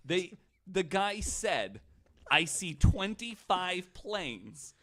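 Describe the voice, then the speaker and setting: A man with a very bright, punchy voice hosts a casual podcast. He tells his story in an animated, comedic way, exclaiming as he chats with his co-hosts. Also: high-pitched, drawn-out words.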